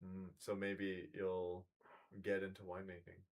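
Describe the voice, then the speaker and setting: A man in his thirties co-hosting a casual chat, dry and teasing in a low-key way. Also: completely flat delivery, unhurried. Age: 30s. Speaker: podcast co-host.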